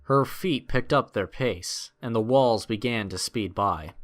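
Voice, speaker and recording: A man's voice: fairly low pitch, unhurried. A man narrating a scripted adventure story in a dramatic storytelling style.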